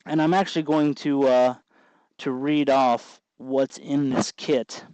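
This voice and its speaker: Halting man giving a hands-on tutorial. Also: medium pitch, fairly even intonation, a little mellow, clean tone, no echo, highs cut off. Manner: halting, informal, thinking aloud as he explains. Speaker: host of an electronics tutorial show. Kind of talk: unscripted hands-on tutorial narration.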